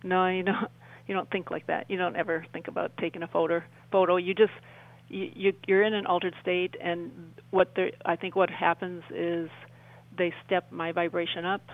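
An older woman, around her sixties, talking as an interview guest in a halting, rambling way and often rephrasing mid-sentence. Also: lowish voice, low-quality recording. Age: older, about 60s. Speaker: interview guest, UFO experiencer. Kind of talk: conversational radio interview storytelling.

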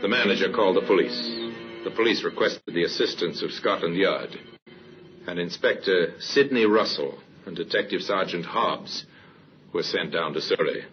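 An actor as the grave narrator of a crime mystery, in vintage radio style. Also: male voice, deep voice, moderate pace, natural voice. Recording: dull vintage radio recording with music.

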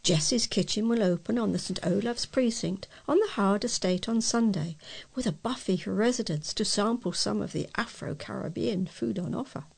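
Female volunteer newsreader, mid-pitched, with a gravelly creak and some huskiness. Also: moderate pace, darkish tone. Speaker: volunteer local news reader. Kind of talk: reading news articles aloud.

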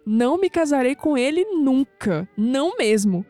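Actress as inquisitive young Brazilian woman, higher pitch, mellow tone, audio drama, faint music behind. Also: big pitch swings, measured pace, dry recording. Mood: earnest, romantic reading. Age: young adult. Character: curious young woman uncovering family secrets, sceptical.

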